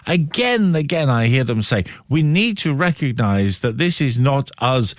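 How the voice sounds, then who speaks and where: A middle-aged man interviewing on the radio, putting long, reflective questions with deliberate, emphatic phrasing. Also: mid-range voice, big pitch swings, no room sound, studio quality.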